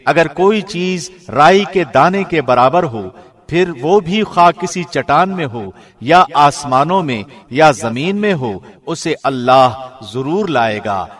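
A man reads a scripture translation in a studio with a formal, broadcast-trained delivery. His voice sits a little high for a man. He keeps an even, solemn pace throughout. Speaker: translation reader. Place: recording studio.

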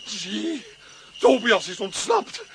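A man's voice: sits high, huge pitch swings, slow, slight background noise, full character voice. An actor playing a grumpy, scheming bald man in a children's radio play, blustering and theatrical, with a Flemish accent. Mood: furious. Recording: music playing behind.

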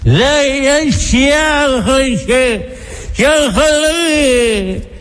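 An actor playing a bitter, domineering old Grand Inquisitor in a theatrical radio drama, halting and repeating his words. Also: very high voice, animated, fairly bright tone, full character voice. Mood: angry taunting. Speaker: stage actor.

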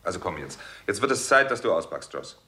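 A man's voice: sits low, big pitch swings, fairly quick, sharp tone, husky, exaggerated voice. A man playing a cool, decent, reserved doctor in a 1960s German radio crime drama, speaking drily and matter-of-factly. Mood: urgent, exasperated. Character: cool, decent, reserved male doctor turned amateur sleuth.

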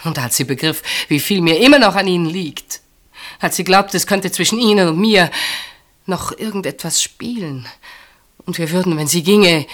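A low-pitched actress as an anguished woman in a German radio drama. She is secretly in love with her sister's husband and speaks with dramatic intensity, moving between outcry and hushed, halting words. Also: close to an everyday voice. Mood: halting, distraught. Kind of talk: German radio play acting.

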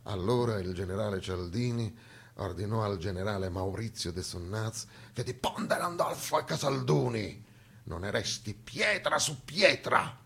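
A man's voice: lowish voice, lively intonation, a little slow, gravelly, slightly rough, exaggerated voice. A man performing as a grave storyteller, narrating history in an emphatic, theatrical stage-monologue style. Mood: hushed, grave. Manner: solemn, emphatic delivery swinging from declaimed to hushed. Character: grave male storyteller recounting a massacre with indignation.

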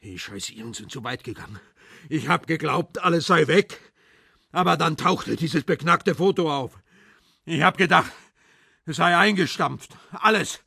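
Raspy desperate bad guy, highish tense voice, pleading and bitter, foul-mouthed, crime audio drama. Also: expressive, unhurried, bright, forceful tone, no room sound, clean studio sound, exaggerated voice. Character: desperate, foul-mouthed male villain, a huge treacherous friend. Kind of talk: crime radio drama acting.

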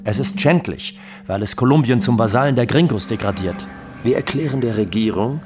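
An actor playing a defiant, menacing drug lord, speaking declamatory, rhetorical German radio drama monologue. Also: male voice, mid-range voice, lightly acted. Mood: resolute. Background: music.

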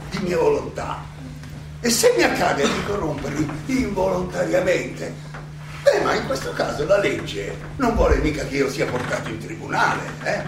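A man's voice: high-pitched, creaky, full character voice. A male stage actor playing an elderly, ironic and indignant Athenian philosopher who argues his own defense in a theatrical, insistently questioning monologue. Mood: ironic, reasoning. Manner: rhetorical, halting then insistent, questioning. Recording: echoey, distant, noisy live stage recording.

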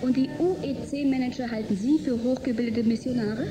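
Actress as a pert, high-voiced young woman. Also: fairly even intonation, normal speed, music in the background, close to an everyday voice. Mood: mocking. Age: young adult. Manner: pert, mocking. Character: cheeky, sharp-tongued nineteen-year-old girl stowaway type. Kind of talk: German radio drama acting.

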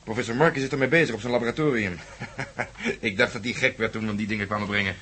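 An actor playing a self-assured, dryly amused police inspector in a Dutch radio play, knowing and teasing. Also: male voice, fairly low pitch, fast, sharp tone. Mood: businesslike.

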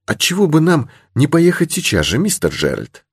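A man's voice: a little high, huge pitch swings, normal speed, husky, no echo, studio quality, pitched above the performer's own voice, exaggerated voice. A man voicing a brave, candid young creole lady in an adventure audiobook. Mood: anxious, eager. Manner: candid, charmingly simple, assured. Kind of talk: audiobook character in adventure novel.

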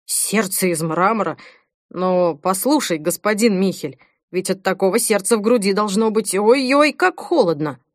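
A woman's voice: mid-range voice, expressive, moderate pace, no room sound, clean studio sound, close to an everyday voice. A woman reading the lines of an impatient young man who exclaims and questions. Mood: amazed.

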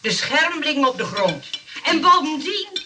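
A woman's voice: high voice, sing-song, moderate pace, recorded from a distance, noisy background. An actress playing a kind but bossy nurse who runs a rest home, cheerful and motherly in a radio comedy. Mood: bossy scolding. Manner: cheerfully defensive, motherly scolding. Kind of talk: Dutch radio comedy drama acting.

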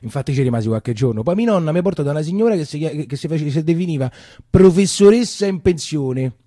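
Roman guy remembering his wartime childhood, soft mellow tone, dry sound, rambly stage monologue. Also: on the high side, lively intonation, slightly rough, no echo. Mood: reminiscing. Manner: colloquial, halting, with repetitions. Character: Roman man recounting his wartime boyhood. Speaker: actor in a theatre monologue. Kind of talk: theatrical first-person storytelling.

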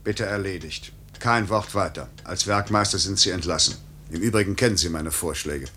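An actor in a 1950s radio play voicing an iron-willed airline director, clipped, commanding and unyielding. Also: male voice, sits low, normal speed, sharp tone, slight background noise. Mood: cold, firm.

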